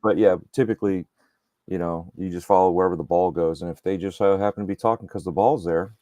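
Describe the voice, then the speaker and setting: A man with a deep, radio-ready voice trades teasing banter as a podcast co-host. He tells stories in an easygoing, relaxed way. The sound is dry and close, with no room around it. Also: quick pace, no echo. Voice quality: radio-style voice. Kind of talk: casual chat.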